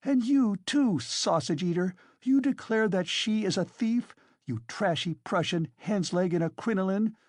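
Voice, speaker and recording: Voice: mid-range voice, big pitch swings, measured pace, few pauses, no echo, clean studio sound, voice pushed up. A reader voicing a frantic, defiant widow who shrieks and accuses in hysterical fury, as an audiobook character.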